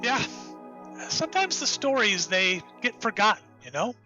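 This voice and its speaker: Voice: mid-range voice, big pitch swings, moderate pace, sharp tone, dull audio, faint music behind. An actor playing an enthusiastic, rambling writer who created the hero, in an audio drama. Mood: wistful, warm. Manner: enthusiastic, rambling, self-interrupting.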